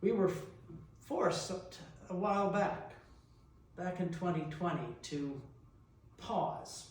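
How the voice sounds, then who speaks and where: A heavily reverberant church recording, caught at some distance, of a middle-aged man giving a sermon. He speaks slowly and deliberately in a reflective style. He leaves long pauses between phrases and poses rhetorical questions. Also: high-pitched. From New Brunswick, Canada. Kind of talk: reflective sermon with personal anecdotes. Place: large reverberant church interior. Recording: echoey church, picked up from a distance.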